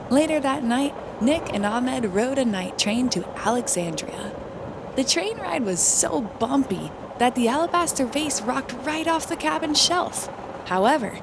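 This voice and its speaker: High-voiced woman reading a children's story. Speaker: storybook narrator. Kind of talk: children's read-aloud narration. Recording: clearly noisy background.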